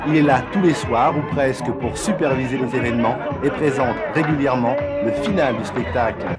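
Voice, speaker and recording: A man reading scripted documentary narration in a smooth, measured way. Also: medium pitch, over a noisy crowd. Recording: old TV report over loud music.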